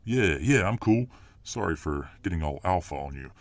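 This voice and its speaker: A very low-pitched muscleman fireman voiced by a male actor for an ASMR audio drama. He is dominant and boastful, with a cocky swagger. He is protective of his lover and quick to bristle. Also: animated. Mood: calming down, apologetic. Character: dominant muscular alpha fireman boyfriend, boastful, protective, hot-tempered.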